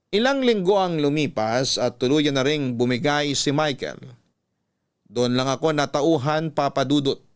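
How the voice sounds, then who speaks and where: Clear, solemn male radio storyteller. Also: fairly high pitch. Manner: solemn, emotive. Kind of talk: dramatic first-person scripted narration.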